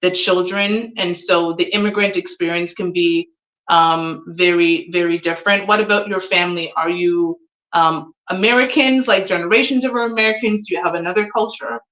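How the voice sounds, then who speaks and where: A woman's voice: fairly low pitch, fairly even intonation, moderate pace, fairly bright tone. A woman from Jamaica in casual, chatty conversation, telling about herself and asking follow-up questions. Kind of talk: casual getting-to-know-you chat. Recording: dull, echoey room sound.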